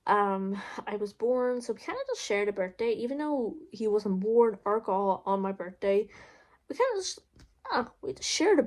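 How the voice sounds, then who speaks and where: Gravelly young Irish woman vlogging. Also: mid-range voice, darkish tone. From Ireland. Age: young adult. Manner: chatty, frequent "like" and "um" fillers, blunt swearing. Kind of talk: casual Q&A vlog.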